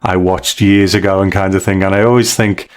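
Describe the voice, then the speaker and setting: Male podcast host, lower-pitched voice, relaxed and conversational. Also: brisk, clear, resonant tone.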